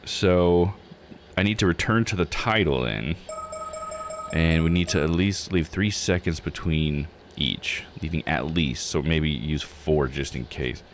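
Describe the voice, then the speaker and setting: A man's voice: rumbling low, measured pace, a little hiss or hum. A man hosting a livestream of a video game, thinking aloud in casual, slangy commentary. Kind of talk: casual gaming stream commentary.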